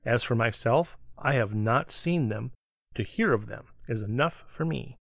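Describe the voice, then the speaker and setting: A woman's voice: rumbling low, animated, unhurried, gravelly, dry recording, studio quality, voice pushed down, heavily acted. A woman voicing a solemn, sorrowful traveling man in a storybook reading, speaking in old-fashioned phrases.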